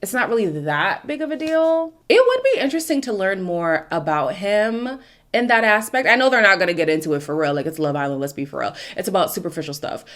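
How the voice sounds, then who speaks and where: A woman's voice: medium pitch, expressive, brisk. A young woman from Canada, whose first language is Ndebele, chatting through a casual recap of a dating show with strong opinions. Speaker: recap host.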